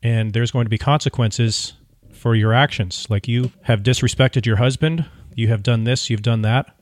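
A man co-hosting a podcast in casual conversation, speaking in halting, searching phrases. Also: medium pitch, no echo. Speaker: podcast co-host.

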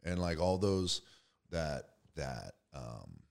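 A man's voice: rumbling low, big pitch swings, slow, vocal fry, a little mellow. A young man talking casually and reflectively, loose and slangy, often checking in with "you know what I mean". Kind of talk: casual conversation.

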